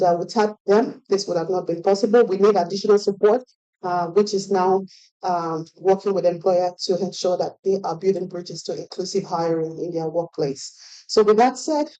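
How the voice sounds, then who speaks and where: A woman's voice: mid-range voice, dark tone, highs cut off. A woman organizer giving hesitant remarks with many fillers to an event audience. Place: event stage.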